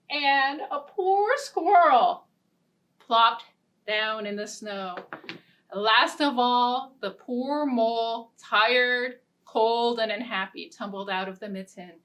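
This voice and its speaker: A woman hosting a children's storytime, reading and talking to small children in a playful, expressive way. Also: fairly high pitch. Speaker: children's librarian, storytime host. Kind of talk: children's storytime with read-aloud story and songs.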